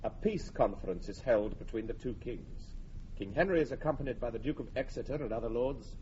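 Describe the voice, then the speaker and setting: Guy as shakespeare radio narrator, stately, medium pitch, muffled old recording with a bit of hiss. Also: lively intonation, a little fast, dark tone, natural voice. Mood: measured. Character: stately storytelling chorus of a history play. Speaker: narrator, chorus.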